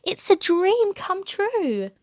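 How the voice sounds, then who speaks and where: A woman's voice: very high voice, very animated, slow pace, no room sound, voice pushed up, full character voice. A woman voicing a polite, eager, innocent young male bear in a children's storybook, with an earnest manner. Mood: excited.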